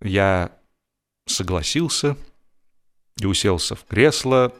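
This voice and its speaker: A man narrating a humorous story in an expressive, theatrical way, with dry comic timing and drawn-out pauses. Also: lowish voice. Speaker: narrator, audiobook reader.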